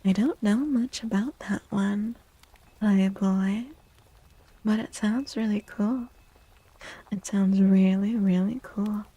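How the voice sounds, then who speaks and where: A woman speaking softly and breathily in a gentle, unhurried half-whisper, chatting as an ASMR livestream host. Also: medium pitch, steady tone, no echo, rough recording. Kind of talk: soft-spoken ASMR livestream chat. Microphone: close microphone, dry.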